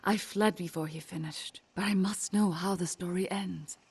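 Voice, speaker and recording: An actress playing a haunted, fearful peasant woman in a video game with a husky, breathy voice. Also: on the low side, fairly even intonation, moderate pace, a little mellow. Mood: awed, anxious. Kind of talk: hushed game voice acting.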